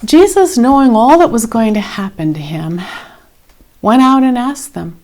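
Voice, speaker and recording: A woman reading a scripted Bible story in a measured, dramatic way with expressive emphasis. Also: a little high. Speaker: reader of scripture.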